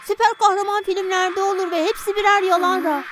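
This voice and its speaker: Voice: very high voice, flat delivery, a little fast, a bit bright, soft background music. A performer voicing a sensible young boy, an older brother who explains and corrects, in a dubbed children's cartoon. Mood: explaining.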